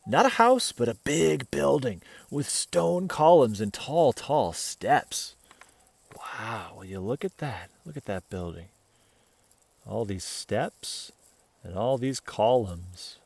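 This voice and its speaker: Man, mid-pitched voice, very animated intonation, picture book read-aloud, casual asides, dry close sound. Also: creaky, husky, no echo. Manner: expressive storyteller, pausing to comment and question the listener. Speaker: dad reading children's books aloud.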